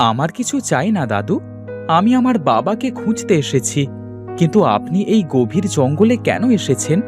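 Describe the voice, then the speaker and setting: A man's voice: on the high side, sing-song, normal speed, music in the background. A man voicing a brave, determined young son in a dramatic cartoon story, speaking earnestly and emphatically. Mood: urgent. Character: brave, determined young son searching for his lost father.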